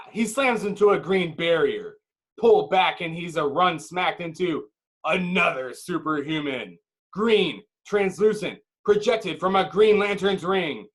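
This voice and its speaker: Higher-voiced man narrating dramatically. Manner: emphatic, clipped phrases with dramatic pauses. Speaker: narrator reading stage directions.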